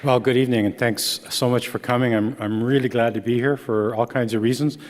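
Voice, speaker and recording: Man, sixties, Dutch first language, conversational, halting restarts, mid pitch, reverberant hall. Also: steady tone. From Canada (Ontario). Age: 60s. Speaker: author and speaker. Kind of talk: book reading talk.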